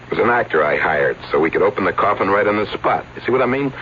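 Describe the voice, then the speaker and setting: An actor playing a wry, regretful publicity agent confessing to a detective in an old-time radio drama. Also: male voice, sits low, lively intonation, fast. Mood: wry, proud. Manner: colloquial, dramatic. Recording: muffled vintage radio recording, faint hiss.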